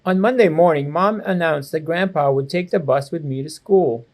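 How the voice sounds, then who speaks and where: A man reading a children's storybook aloud to students with expressive, clear phrasing, like a school educator. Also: on the high side, moderate pace. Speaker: assistant principal reading to students.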